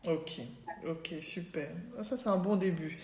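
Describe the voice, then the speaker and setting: A woman's voice: lowish voice, measured pace, echoey room. A woman hosting a podcast, enthusiastic and chatty, with plenty of fillers. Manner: enthusiastic, warm reactions and fillers. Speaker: podcast host.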